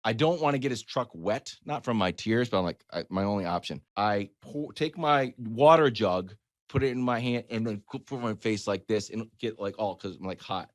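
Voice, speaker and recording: A man with a low voice speaks in a dry, close recording. He talks like a sports host sharing a personal story, conversational and emphatic as he makes his points. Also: normal speed, dry recording. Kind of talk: casual anecdotal storytelling and sports opinion.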